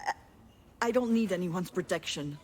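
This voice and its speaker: An actress playing a proud, combative sister with a blunt, challenging way of talking in game dialogue. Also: female voice, fairly low pitch, animated, moderate pace, a little mellow, a little hiss or hum, natural voice.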